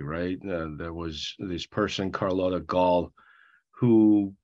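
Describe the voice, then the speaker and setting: A middle-aged man from Armenia giving a panel talk, halting with many fillers and restarts, with dry asides. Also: sits low, a little mellow, muffled recording. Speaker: panel guest speaker.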